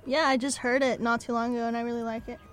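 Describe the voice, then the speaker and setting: A woman's voice: a little high, level tone, quick pace. A young woman guest on a radio podcast, giving short easygoing answers. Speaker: guest, promotions director. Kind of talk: casual chat.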